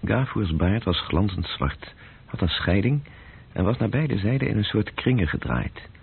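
An actor as the grave narrator of a radio play, reading scripted literary prose. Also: male voice, sing-song, moderate pace, full character voice. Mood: measured, intent. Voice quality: very husky, deep. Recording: dull, lo-fi old radio recording.

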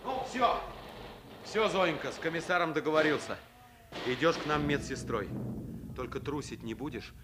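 An actor playing a spirited, tender young officer, soldierly and playful, in a 1950s Soviet radio play. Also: male voice, a little high, moderate pace, long pauses, natural voice. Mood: excited, tender. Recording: noisy old radio play, battle effects.

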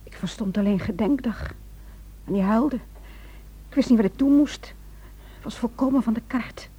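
A woman's voice: a little high, moderate pace, warm tone, natural voice. A woman acting in a radio play as a caring, anxious wife, attentive and sometimes hesitant. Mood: worried, hushed. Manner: attentive, hesitant when flustered. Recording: muffled, noisy old radio recording.

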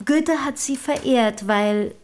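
A woman's voice: high-pitched, slow pace, a little mellow. An actress playing an eager, self-assured young noblewoman writer of the early 1800s in a radio play, with formal, inquisitive diction. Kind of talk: German radio play acting.

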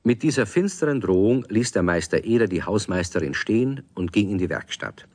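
A man's voice: fairly low pitch, lively intonation, brisk, a little mellow. A man narrating a children's radio play as a scripted storyteller. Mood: storytelling.

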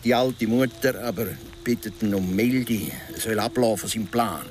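An actor playing a philosophising local guide and storyteller in a Swiss German radio drama, speaking in a deliberate, dramatic way. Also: male voice, on the low side, darkish tone, a little hiss or hum, natural voice. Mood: grave, dramatic. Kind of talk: radio drama, telling a folk legend.

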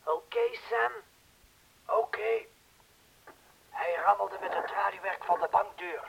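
A male actor playing a furtive, watchful burglars' lookout in a radio play, breathy and creaky. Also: high-pitched, slow pace, fairly bright tone, a little hiss or hum, exaggerated voice, low-quality recording. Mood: furtive, hushed.

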